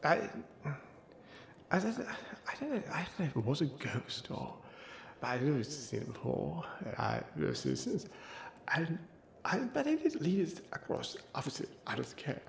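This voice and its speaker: A man's voice: on the high side, big pitch swings, measured pace, creaky, heavily acted. A man playing a befuddled old wizard who mumbles and rambles through tall tales in a comic ASMR roleplay, trailing off into muttered asides. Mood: muttering, befuddled. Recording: roomy echo, faint background noise and ambience.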